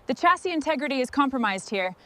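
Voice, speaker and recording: A woman leading a restoration crew, giving brisk, clipped instructions. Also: high voice, expressive, fairly bright tone. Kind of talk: scripted work commands.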